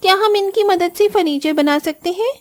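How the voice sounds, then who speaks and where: Actress playing a kind young girl, high voice, earnest, expressive, cartoon moral tale. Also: normal speed. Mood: amazed. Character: poor young orphan sisters, earnest, kind, easily exasperated. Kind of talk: Hindi cartoon moral-story voice acting.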